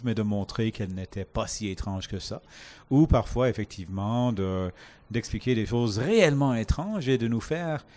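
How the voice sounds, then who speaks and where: Canadian guy on a panel, thinking out loud, kinda searching for words. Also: mid-range voice. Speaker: panel guest, science fiction author. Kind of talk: reflective panel talk.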